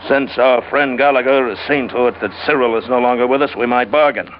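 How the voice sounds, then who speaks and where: An actor playing a pompous, scheming, portly crime boss with florid, formal speech in old radio noir style. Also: male voice, medium pitch, brisk, natural voice. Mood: bargaining. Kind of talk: 1940s-50s radio noir acting. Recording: muffled old radio recording, faint noise.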